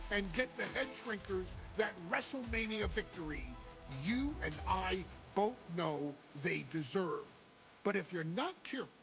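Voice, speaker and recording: A man playing a menacing game narrator who briefs the player in a deliberate, theatrical, ominous delivery, with music behind him. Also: high-pitched, gravelly, heavily acted. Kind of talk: dramatic scripted game cutscene narration.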